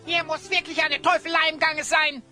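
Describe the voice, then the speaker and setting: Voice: high-pitched, level tone, moderate pace, pushed and bright, soft background music. An actor playing a cheeky, sceptical little companion creature in an audio drama, pert and exclamatory. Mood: pert, suspicious. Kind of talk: German audio drama acting.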